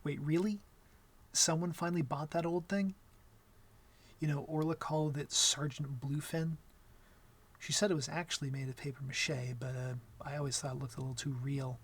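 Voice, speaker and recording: A young man playing an awkward, gentle vampire in an intimate ASMR roleplay, talking softly and hesitantly with a wry streak. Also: fairly high pitch, steady tone, moderate pace, husky. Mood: relaxed, amused. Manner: hesitant, soft-spoken, wry.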